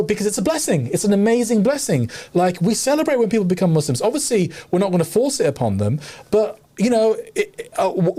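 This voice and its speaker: A man's voice: high voice, warm tone. A man co-hosting a discussion podcast, making his case in an earnest, argumentative way, thinking aloud with many fillers. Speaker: podcast co-host.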